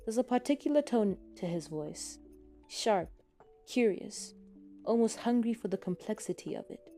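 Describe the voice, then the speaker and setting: A woman narrates a piece of fiction in a scripted, second-person style. Her voice has a mellow, dark tone at a middling pitch. Quiet music plays underneath the reading. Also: expressive, moderate pace. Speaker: podfic narrator. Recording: soft music bed behind reading. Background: soft background music.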